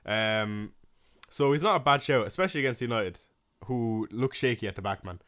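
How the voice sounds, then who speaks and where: A young man with a Waterford Irish accent, hosting a casual fantasy football livestream, chatting with viewers and answering comments with plenty of fillers and soft laughs. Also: mid-range voice, moderate pace, clear, resonant tone. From Ireland. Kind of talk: casual live-stream chat reading comments.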